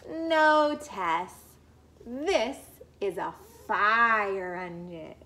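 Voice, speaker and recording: A woman reading a picture book as a patient boy who corrects firmly, with deliberate emphasis. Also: mid-range voice, pushed and bright, voice pushed down. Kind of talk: children's picture book read-aloud.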